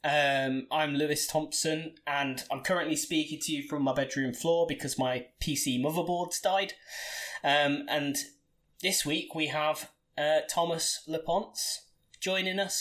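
A man's voice: on the high side, steady tone, measured pace, a bit bright. A man in his late twenties hosting a podcast from his bedroom, talking casually with frequent restarts and laughter. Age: 20s. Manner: chatty, laughs often, many restarts. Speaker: podcast host.

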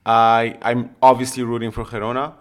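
A man with a mid-range voice co-hosts a casual football podcast. He talks bluntly and offers strong opinions, swearing freely in relaxed, conversational phrasing. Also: medium pitch, normal speed, smooth. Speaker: podcast co-host.

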